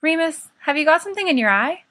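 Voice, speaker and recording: An earnest, slightly naive teenage boy, put on by a woman reader in an audiobook. He is hesitant and doubtful, with a bright, raised pitch. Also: expressive, fast. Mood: puzzled concern. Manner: doubtful, tentative. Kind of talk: fan fiction audiobook character.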